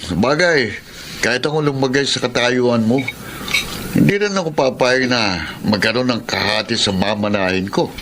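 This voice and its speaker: An actor with a Filipino accent playing an admiring, conniving drinking buddy in a chummy, chuckling radio drama style. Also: male voice, mid-range voice, moderate pace, a bit bright, lightly acted. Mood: sly, probing. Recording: clear background noise and ambience.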